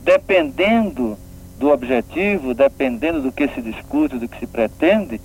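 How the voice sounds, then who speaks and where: A man's voice: mid-range voice, expressive, unhurried. A man from Pernambuco with a northeastern Brazilian accent, explaining things didactically as a radio interview guest and often checking with tag questions. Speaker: guest, regional development agency official. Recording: narrow phone-like band, faint noise.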